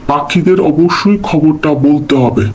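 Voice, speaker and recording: A man's voice: a little high, steady tone, normal speed, voice pushed down, close to an everyday voice, low-quality recording. A man playing a clever, resolute crow who leads the birds in an animal fable cartoon, talking earnestly and explaining things. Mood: indignant.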